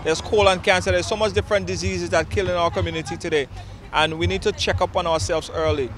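A man's voice: sits high, fairly even intonation, fast, a bit bright. A middle-aged man speaking earnestly into a handheld mic on a city street, at times repeating words as he thinks. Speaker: walk participant, team organizer. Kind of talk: street interview. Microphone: handheld reporter mic.

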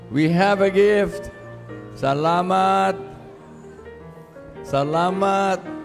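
A man with a Cebuano Filipino accent addressing a church service as pastor, giving short, repeated directions to the congregation. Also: sits high. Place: large church auditorium. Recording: reverberant church hall sound with music behind.

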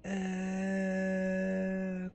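A man's voice: high-pitched, completely flat delivery, drawn-out words, darkish tone, slight background noise. A young man doing casual, slangy live game commentary with some swearing. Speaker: game streamer. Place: small indoor room, webcam stream.

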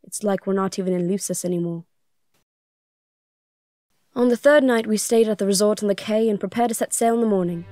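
A woman's voice: mid-range voice, a little fast, long pauses, warm tone, dry recording, studio quality, close to an everyday voice. A young woman playing an anxious, sorrowful princess in an audio drama, earnest and melancholy. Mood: melancholy, haunted. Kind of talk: audio drama acting and first-person narration.

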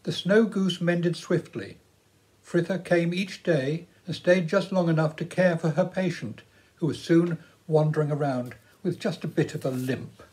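A man's voice: fairly high pitch, a little slow, a little mellow, low-quality recording. A man in his seventies with an English accent, reading a story aloud as a storyteller. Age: 70s. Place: living room at home, indoors.

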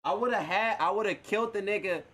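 A man's voice: high voice, fast talker, a bit bright, slight background noise. A man streaming a roleplay game, talking casually in profane street slang.

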